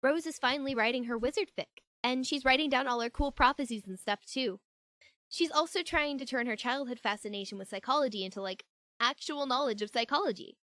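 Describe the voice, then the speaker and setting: A woman plays a young woman with a fairly high voice in a fan audio drama. She is earnest, friendly and newly assertive, talking in an emphatic everyday way. The sound is close and dry. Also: fairly quick, sharp tone, no room sound, poor audio. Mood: chatty, fond.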